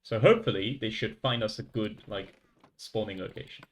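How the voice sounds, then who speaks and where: A man's voice: on the low side, moderate pace. Young french man thinking aloud on stream. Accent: light French. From France. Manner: thinking aloud, chatting with viewers. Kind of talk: casual coding livestream.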